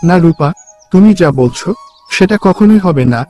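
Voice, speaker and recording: A man's voice: high-pitched, animated, normal speed, mellow, faint music behind. A man voicing a righteous, devoted young married son in a cartoon, stern and reproachful. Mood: firm, questioning.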